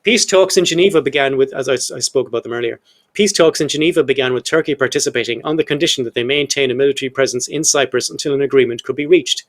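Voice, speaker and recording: A man's voice: medium pitch, fast talker. A man of about fifty hosting a casual history podcast, jokey and irreverent, who swears offhandedly and breaks up long read-out quotes with his own asides. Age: 50s. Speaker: podcast host.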